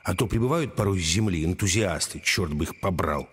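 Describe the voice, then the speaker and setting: A man playing a weary, wise older judge in a radio drama, speaking in a measured, paternal, admonishing way. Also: low voice, animated, a bit bright, slight background noise. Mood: weary, reflective. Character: weary, wise older male judge, secretly an Earth historian.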